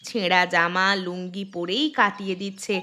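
Woman acting as poor village mother, earthy, nagging, bright sharp voice, fairy-tale cartoon. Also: lowish voice, fairly even intonation, normal speed. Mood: tender, careworn.

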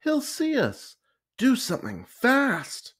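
Man voicing an anxious, high-pitched schoolgirl. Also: wildly swooping pitch, slow pace, a bit bright, husky, full character voice. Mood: nervous, urgent. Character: anxious young schoolgirl, excitable and wistful.

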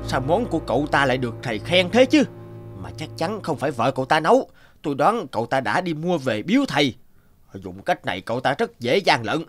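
A man's voice: fairly high pitch, very animated, brisk. A man voicing a mocking, scheming student rival in a children's cartoon, with exaggerated delivery. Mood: indignant, scheming. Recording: music under the voice.

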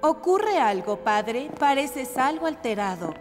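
A woman's voice: high-pitched, sing-song, a little slow, in a large hall, faint music behind. An actress playing a clever, proud young woman in a cartoon, poised, persuasive and pointed. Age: young adult. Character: clever, proud young woman, mayor's strong-willed daughter. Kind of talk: animated cartoon dubbing.

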